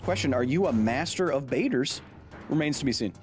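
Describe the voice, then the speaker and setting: An excitable man chats through a live game stream, joking and yelling at what happens on screen. His pitch swings widely as he talks, and faint music plays under his voice. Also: a little fast. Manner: goofy, excitable, shouts at the game. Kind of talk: live gameplay commentary.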